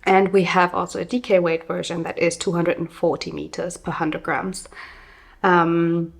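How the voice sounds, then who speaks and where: A young adult woman from Germany hosting a casual podcast, chatty with lots of fillers, a bit hoarse from a summer cold. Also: on the low side, flat delivery, normal speed. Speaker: podcast host and yarn dyer. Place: small studio.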